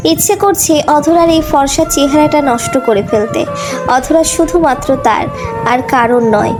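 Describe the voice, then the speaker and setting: A woman's voice: sits high, low-quality recording. A woman storyteller reading a scripted romantic story as narration. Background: background music.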